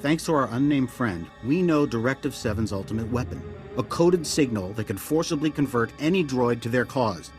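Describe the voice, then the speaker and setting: An actor playing a grave, urgent military intelligence director in a video game, briefing in a clipped, earnest way. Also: male voice, mid-range voice, lively intonation, moderate pace, few pauses, a little mellow, close to an everyday voice. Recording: dramatic music underneath.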